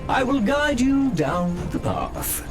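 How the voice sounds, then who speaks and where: A man's voice: extremely high pitch, sing-song, takes it slowly, full character voice. A man voicing an eager, officious robot tour guide in a video game, with formal, sing-song announcer phrasing. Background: game background music.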